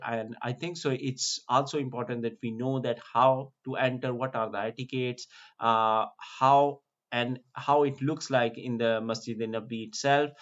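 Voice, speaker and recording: Man, mid-pitched voice, unhurried explainer, halting with fillers, slightly dull lo-fi sound. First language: not Arabic. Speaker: vlogger giving a virtual tour. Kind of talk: casual screen-share walkthrough.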